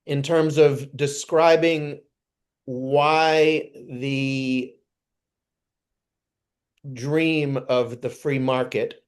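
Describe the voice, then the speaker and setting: A man from New York speaks slowly and evenly, his pitch barely moving. His voice is clear and smooth as he tells his story over a video call. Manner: measured storytelling with soft filler sounds. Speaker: guest introducing himself. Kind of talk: casual video call conversation.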